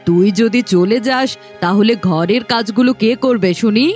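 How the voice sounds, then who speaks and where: A woman's voice: mid-range voice, unhurried, darkish tone, soft background music, lightly acted. A woman voicing a cruel, bossy middle-aged village stepmother in a cartoon, scornful and scolding. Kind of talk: cartoon voice acting.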